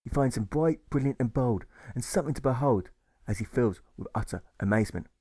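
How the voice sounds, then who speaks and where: A man reading a rhyming audiobook story in a rhythmic, deliberate, theatrical manner. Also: mid-range voice, lively intonation, dark tone, breathy edge, no echo. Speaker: author reading own audiobook. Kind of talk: rhyming scripted narration.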